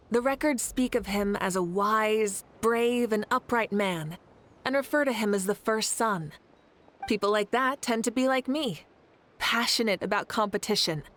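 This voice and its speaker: A woman's voice: a little high, fairly bright tone, a little hiss or hum, close to an everyday voice. A woman voicing a confident, passionate adult ruler in a game, teasing and laughing easily. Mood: explaining. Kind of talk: game voice acting. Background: faint game music.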